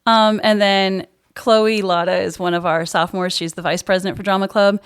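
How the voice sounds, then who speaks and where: A woman's voice: medium pitch, fairly even intonation, normal speed, smooth. A woman speaking as a guest in a casual podcast interview, explaining things informally as a school drama director.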